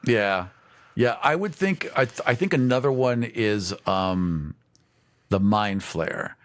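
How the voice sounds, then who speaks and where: A man co-hosting a podcast in casual chat, agreeable and quick to back his partner up. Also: fairly low pitch, animated. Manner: agreeable, backs his partner with frequent yeahs. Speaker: podcast co-host.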